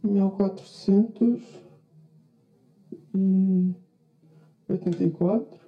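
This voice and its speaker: A dazed, disoriented woman who has lost her memory played by an actress in a radio drama. She speaks slowly and haltingly in puzzled questions, at a middle pitch, with a slightly dull sound. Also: steady tone. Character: bewildered woman with lost memory, revived into a strange time.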